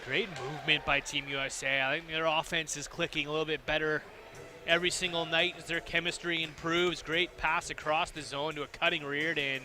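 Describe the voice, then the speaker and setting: A man giving steady, analytical color commentary on a lacrosse game. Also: on the high side, fairly quick. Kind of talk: sports analysis.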